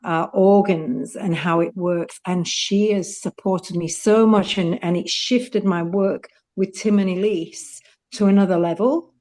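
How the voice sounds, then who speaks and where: A woman's voice: medium pitch, a little slow, darkish tone. A middle-aged woman, a student, speaking heartfelt and rambling on a video call. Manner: heartfelt, rambling, laughs easily. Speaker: student and participant. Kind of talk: casual testimonial.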